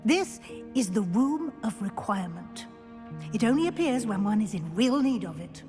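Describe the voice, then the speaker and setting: An actress playing a brisk, encouraging professor at a wizard school, explaining things in an instructive manner. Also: female voice, mid-range voice, big pitch swings, a little mellow, soft background music, close to an everyday voice. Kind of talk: expository game voice acting. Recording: long hall-like reverb.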